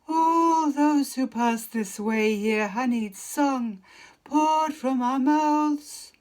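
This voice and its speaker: A woman voicing alluring bird-goddess Sirens in epic verse, with a drawn-out, lilting, tempting delivery. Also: a little high, darkish tone. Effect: special microphone for the Sirens' voice. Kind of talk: storybook epic verse performance.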